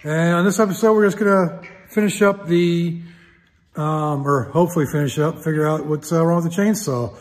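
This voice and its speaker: A man's voice: on the high side, moderate pace. A middle-aged man hosting a casual repair vlog, thinking aloud with frequent restarts. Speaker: channel host. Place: large metal garage workshop. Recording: echoey workshop with a long reverb and faint noise.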